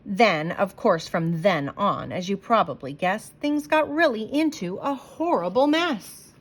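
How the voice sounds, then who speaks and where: A woman's voice: medium pitch, animated, a little slow, a little hiss or hum. A woman reading a rhyming picture book aloud to children with emphatic, sing-song expression and short asides.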